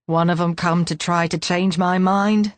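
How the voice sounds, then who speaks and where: A woman reading a storybook voices a frail, grumpy old man who is gruff but kindly and speaks in a creaky, growling way. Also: lowish voice, fairly even intonation, measured pace, dry recording, lightly acted. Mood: grumbling. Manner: grumbling, gruff.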